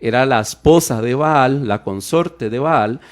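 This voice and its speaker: A Costa Rican man teaches Bible history on a radio show, in a voice of ordinary pitch. He wanders through asides, restarts his sentences and hunts for words as he goes. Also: measured pace. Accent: Costa Rican Spanish. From Costa Rica. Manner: lively, digressive, with many restarts and fillers. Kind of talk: explanatory radio Bible talk. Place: radio studio.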